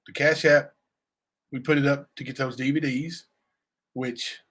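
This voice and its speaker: A man with a raspy voice, chatting loosely on a livestream and answering viewers, full of fillers and asides. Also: mid-range voice, level tone, measured pace, fairly bright tone. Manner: rambling, many fillers and asides to chat. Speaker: livestream cohost; youth basketball coach. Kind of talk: casual livestream chat.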